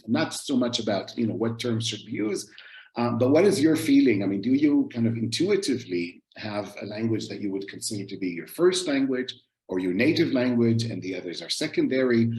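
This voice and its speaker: A man's voice: on the low side, darkish tone. A man moderating an online panel, talking with many fillers and restarts over a video call. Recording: echoey room on a video call.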